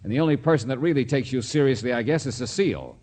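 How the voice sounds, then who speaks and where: A man playing a tough, sharp-tongued private detective in an old American radio drama, needling and insistent. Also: mid-range voice, quick pace, natural voice. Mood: confrontational, sardonic. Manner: hard-boiled, needling, insistent. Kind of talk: 1940s-50s American radio drama acting. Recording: vintage radio drama recording.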